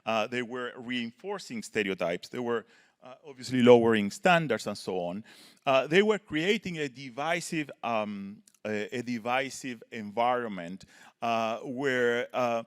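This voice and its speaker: A man from Chile, giving earnest panel remarks as a professor and often ending points with a quick "right?". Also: medium pitch, animated, smooth. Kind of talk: panel talk, prepared remarks.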